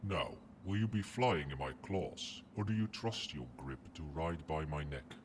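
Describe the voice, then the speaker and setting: A man voicing a proud, possessive dragon with formal, haughty, measured speech in an ASMR roleplay. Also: very deep voice, lively intonation, background noise. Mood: commanding, proud. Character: proud, imperious male dragon, possessive but grudgingly protective.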